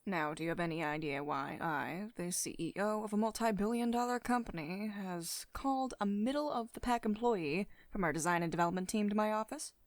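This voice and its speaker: A poised female CEO, acted by a woman in an intimate ASMR roleplay. She speaks at a medium pitch in a measured, commanding way, with teasing, slightly condescending affection. The sound is close to the microphone and very dry. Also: no room sound, natural voice. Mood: poised, probing. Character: poised, teasing, mildly dominant female CEO of tech firm. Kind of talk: ASMR roleplay, intimate one-sided dialogue. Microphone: close studio-style microphone, dry voice-over.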